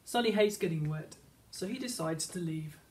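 A man's voice: fairly high pitch, moderate pace, a little hiss or hum. A man narrating a children's picture book, read aloud as a teacher would. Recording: slightly distant microphone.

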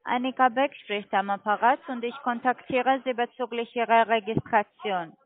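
A woman's voice: on the high side, fairly even intonation, moderate pace. A woman with a foreign accent, speaking non-native German as a direct, businesslike telemarketing caller. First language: not German. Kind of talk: sales phone call. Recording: tinny phone line.

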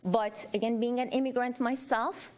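A woman's voice: on the high side, fairly even intonation, moderate pace, a little mellow. Earnest, emphatic woman speaking publicly. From Iran. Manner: earnest, emphatic, repeats phrases for stress. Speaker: audience speaker at a public forum. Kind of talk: impromptu public remarks to a panel.

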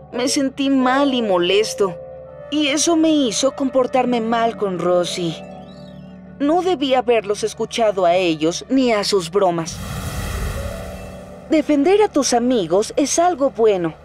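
Young boy steam engine, high-pitched, unhurried, eager cartoon hero, Latin American Spanish. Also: darkish tone. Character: eager, cheerful young male steam locomotive. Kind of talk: dubbed children's cartoon acting and narration.

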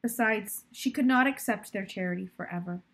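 Husky young woman reading a story aloud. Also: medium pitch, normal speed, a bit bright. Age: young adult. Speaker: teacher reading a children's novel aloud. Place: plant-filled room indoors by a window.

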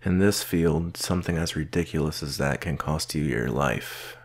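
A man playing a stern, solitary research doctor in an audio roleplay, speaking in a measured, dry, curt manner with a gravelly voice. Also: extremely low pitch, heavily acted. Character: gruff male researcher doctor, solitary, stern but patient mentor. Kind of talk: slow audio roleplay acting addressed to the listener.

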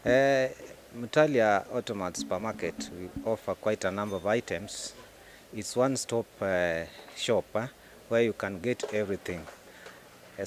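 A middle-aged man with a Kenyan accent, a store manager giving promotional interview answers in short, halting phrases into a handheld mic. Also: lowish voice, slight background noise. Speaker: supermarket branch manager, interviewee. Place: inside a supermarket. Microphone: handheld microphone. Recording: faint music behind the voice. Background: faint music.

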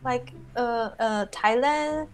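A young woman from China, friendly and encouraging, speaking halting English over a video call from a small bright room. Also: a little high. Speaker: stranger on a video chat. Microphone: earphone mic on a video call.

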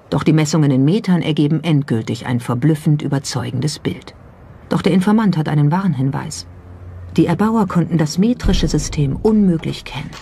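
A documentary narrator reading a script in a measured, suspenseful storytelling manner. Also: mid-range voice, dark tone, slight background noise. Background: background music.

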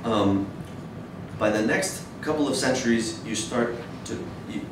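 A man in his thirties giving an academic lecture in a measured, hesitant way. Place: dim wood-shelved seminar room with audience, indoors. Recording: echoey room, distant mic, noisy rumble.

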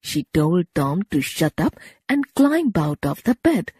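A woman narrates a children's story with very lively intonation and a slow, measured pace. Her voice has a husky edge, and the recording is dry and close. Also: on the low side, no echo. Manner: measured, expressive storybook delivery.

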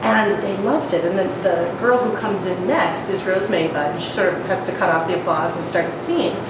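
A woman in her thirties from New York chats as an interview guest, bubbly and laughing often. She sits well back from the microphone in a strongly echoing room, with a clear layer of noise under her voice. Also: on the low side, moderate pace. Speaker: opera singer guest. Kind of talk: casual interview chat. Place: room with plants and wood furniture, indoors. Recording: echoey room from far off, noisy.

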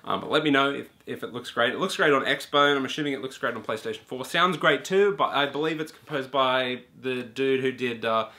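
A young man talks straight to the camera in a small room, loose and animated, with plenty of ums and the odd swear. His voice is bright and forceful, pitched in a middle range for a man. He sounds like someone casually reviewing a game. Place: small indoor room with a brick wall and shelves.

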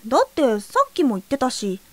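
A woman playing a playful, unafraid seventeen-year-old girl in a fairy-tale audio drama, gently teasing and unruffled. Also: sits high, big pitch swings, brisk, dry recording. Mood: curious, unfazed. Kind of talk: scripted audio drama acting. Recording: music behind the voice.

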